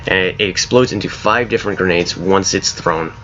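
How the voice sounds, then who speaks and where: A man with a very low, hoarse voice, since he's coming down with a cold, talks through his game mod in a loose, rambling way. His tone is bright and forceful despite the depth. The home recording sounds a little dull, with a faint hiss underneath. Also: a little fast. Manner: rambling, self-correcting, laughs at his own ideas. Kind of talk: casual gameplay commentary.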